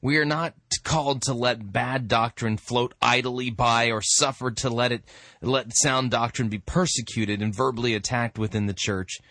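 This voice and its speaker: A man hosting a radio show, giving informal commentary with emphatic, drawn-out delivery. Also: mid-range voice, fairly even intonation, a bit bright, dry recording.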